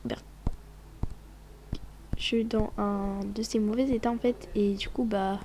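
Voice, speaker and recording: A woman's voice: medium pitch, steady tone, a little slow, dark tone, a little hiss or hum. A woman with a cold, sniffling as she chats casually over her gameplay, rambling and often dropping to a whisper. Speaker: gaming vlogger.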